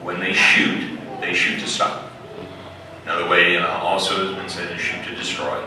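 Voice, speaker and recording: Low-voiced man, echoey public briefing. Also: completely flat delivery, moderate pace. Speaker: law enforcement official presenting case facts. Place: community center meeting room, far from camera mic. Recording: echoey hall, distant mic, background noise.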